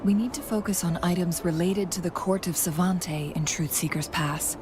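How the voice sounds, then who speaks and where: An actress playing a thoughtful young woman companion in a game, speaking in a measured, reflective way and often musing softly. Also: on the low side, background noise, close to an everyday voice. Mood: pondering. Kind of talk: scripted game dialogue. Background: game music.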